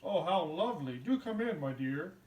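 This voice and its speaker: A man's voice: on the high side, lively intonation, normal speed, darkish tone. A man playing a sly wolf who pretends to be sweet, in a puppet show. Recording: echoey room, distant from mic.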